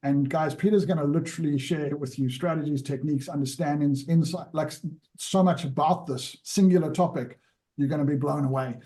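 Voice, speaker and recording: A man's voice: fairly high pitch, brisk, warm tone. A man hosting an interview, enthusiastic and rambling, with lots of restarts. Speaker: interview host.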